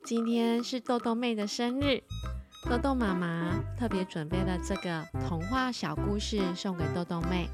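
A woman telling a story for children as a podcast host, in an animated storytelling style. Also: mid-range voice.

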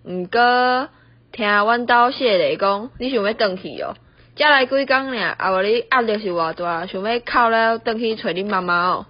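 A young woman with a Taiwanese accent voicing a bossy, scolding middle-aged mother-in-law in an amateur radio play, nagging as she switches between Hokkien and Mandarin. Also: a little high. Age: young adult. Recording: dull, lo-fi, roomy home recording.

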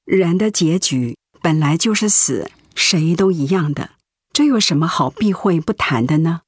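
Husky, gentle ailing mother. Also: fairly low pitch, lively intonation, a little slow, no echo, studio quality. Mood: consoling. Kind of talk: Mandarin radio drama acting.

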